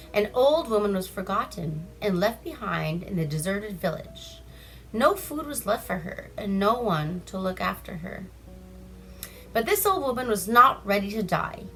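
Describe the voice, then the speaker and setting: Woman, storyteller, bright tone, chatty irreverent delivery, folk-tale narration, music behind. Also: mid-range voice, poor audio. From Seward Peninsula, Alaska. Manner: irreverent, chatty, breaks off to comment. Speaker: storyteller reading bedtime stories. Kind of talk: read-aloud folk tales with casual asides.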